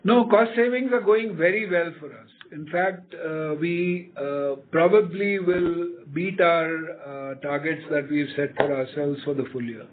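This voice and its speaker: A man with an Indian accent answering questions as an executive on an earnings call, with a halting, measured delivery. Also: on the high side. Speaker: company executive. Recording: tinny phone line with noise.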